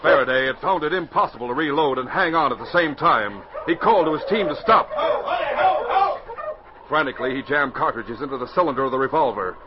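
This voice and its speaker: A man announcing and narrating in dramatic 1940s radio style, emphatic and carefully enunciated, with a husky voice. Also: mid-range voice, animated, brisk, heavy background noise. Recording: dull 1940s radio recording.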